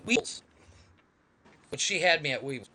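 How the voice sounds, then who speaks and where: A man with a fairly high, bright voice and an easygoing manner as he co-hosts a live-stream podcast. He reads lists aloud over a computer mic, with a faint hiss behind him. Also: very animated, normal speed. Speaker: podcast co-host. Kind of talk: casual live-stream chat.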